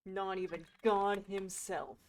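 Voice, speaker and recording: A woman's voice: mid-range voice, fairly even intonation, takes it slowly. A woman streaming a game with casual, playful and irreverent commentary.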